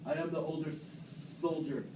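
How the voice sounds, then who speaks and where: A man acts out a proud, wronged Roman soldier in an amateur puppet play. He declaims slowly in a slightly high male voice. The room echoes and is clearly noisy. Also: fairly even intonation, far from the mic, natural voice. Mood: defiant. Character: Cassius, proud, aggrieved Roman soldier with animal traits. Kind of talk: amateur puppet-show Shakespeare parody. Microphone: distant camera microphone.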